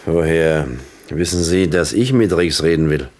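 An actor playing a principled, wronged police detective in a radio drama, plain-spoken and weary. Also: male voice, very deep voice, unhurried, a little mellow. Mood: suspicious. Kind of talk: German crime radio drama acting.

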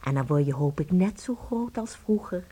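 A woman's voice: on the low side, lively intonation, moderate pace, mellow, slightly rough. An actress in a radio play playing a doting wife who coos tenderly and exclaims as she fusses over her husband. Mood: consoling, tender.

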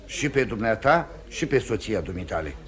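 Coaxing, very animated male lawyer. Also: lowish voice. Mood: coaxing, brisk. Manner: persuasive, reassuring, insistent. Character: confident, coaxing male defence lawyer advising his client. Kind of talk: mid-century radio theatre acting.

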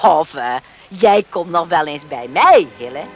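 A woman's voice: low voice, lively intonation, unhurried, husky, highs cut off, exaggerated voice. An actress playing a middle-aged, protective mother who scolds plainly and emphatically in a Dutch radio drama. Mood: indignant, defiant. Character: indignant, protective island mother confronting her son's would-be father-in-law.

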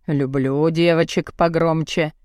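A gruff, burly, bearded man performed by a woman narrator speaks low in an audiobook. He is brazen and lecherous, with a blunt, mocking, commanding delivery. The sound is dry and close. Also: steady tone, measured pace, a little mellow, dry recording. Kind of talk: audiobook character dialogue.

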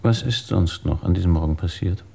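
A sober investigator in a radio play speaks in a low, husky voice. He is terse and probing, with a dark tone and little ornament. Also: brisk, heavily acted. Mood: matter-of-fact. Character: sober male police investigator questioning a witness. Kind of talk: German radio drama acting.

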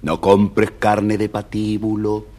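A man with a husky voice narrating a scripted children's story, dramatic and speaking straight to young listeners. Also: on the low side, monotone, slow pace, darkish tone.

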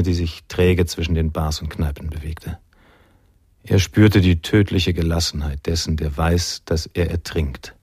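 An actor playing a brooding film-noir narrator in a radio drama, reading scripted narration in a measured, grave manner. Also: male voice, low voice, mellow, slightly rough, dry recording, studio quality, exaggerated voice. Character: brooding male film-noir narrator recalling his friend's escape.

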